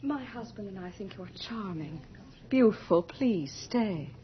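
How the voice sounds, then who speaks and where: A woman's voice: mid-range voice, animated, unhurried, slight background noise, lightly acted. An actress playing a gracious aristocratic lady, formal and stately, in a theatrical radio drama. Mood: gracious, entreating. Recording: muffled vintage radio drama recording.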